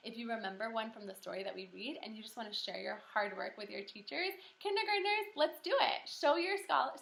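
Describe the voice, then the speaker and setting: Woman, kindergarten teacher, high bright voice, animated read-aloud, encouraging, question-and-pause delivery, echoey room. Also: creaky. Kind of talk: read-aloud lesson for young children. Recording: roomy echo.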